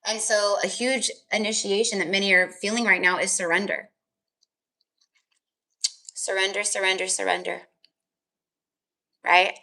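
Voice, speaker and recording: A woman's voice: medium pitch, level tone, measured pace, pauses often, bright, forceful tone. A woman tarot reader chatting casually on a live stream, rambling with lots of fillers, her voice tired and crackly. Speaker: tarot reader and energy healer.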